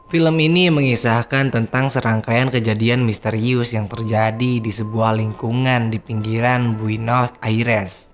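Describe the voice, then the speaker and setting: A man's voice: medium pitch, a little hiss or hum. A man, hosting a casual, slangy horror film recap for his viewers. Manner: slangy, chatty, addresses viewers as guys. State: hoarse. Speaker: film review host.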